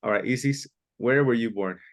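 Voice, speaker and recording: A man's voice: medium pitch, moderate pace. A man teaching an online English class, patiently repeating key phrases and sometimes explaining in Spanish. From Mexico.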